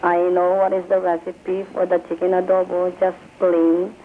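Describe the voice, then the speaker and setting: A woman's voice: on the low side, monotone, normal speed, slight background noise. A woman from the Philippines calling in by phone, asking doubtful, teasing questions. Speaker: caller. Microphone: telephone. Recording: tinny phone line.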